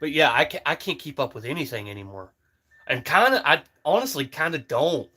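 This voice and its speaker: A man's voice: fairly high pitch, sing-song, a little fast, bright, forceful tone, husky. A man in his thirties chatting casually on a livestream, rambling with lots of fillers while shooting outdoors. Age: 30s. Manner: rambling, easygoing, filler-heavy. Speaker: livestream host. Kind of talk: casual live-stream chat while target shooting.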